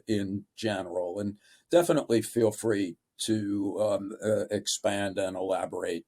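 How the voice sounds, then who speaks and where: A man moderating a panel discussion, polite and deliberate in his phrasing. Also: on the low side.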